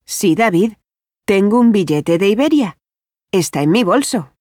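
An actress playing a friendly adult woman who works at a bank, speaking clearly and without hurry in language-course dialogues. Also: medium pitch, animated, a little slow, darkish tone, no echo, studio quality. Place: dry studio.

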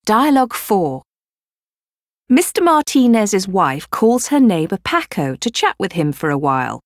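A woman narrating a language course in a measured, instructional tone. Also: mid-range voice, big pitch swings, no echo, clean studio sound. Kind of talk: scripted narration. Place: dry studio.

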